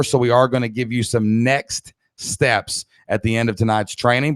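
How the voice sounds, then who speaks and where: Male webinar host, Southern US accent, average pitch, dry close sound, emphatic and folksy coaching delivery. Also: no room sound. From Kentucky, United States. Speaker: online business coach, podcast host. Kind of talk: live webinar training presentation.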